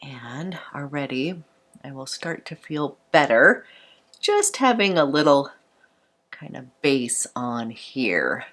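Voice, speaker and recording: A woman beauty vlogger chatting brightly through a makeup tutorial, a little congested from a cold. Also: extremely low pitch, sing-song, slow pace. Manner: bubbly and chatty, fond of "a little".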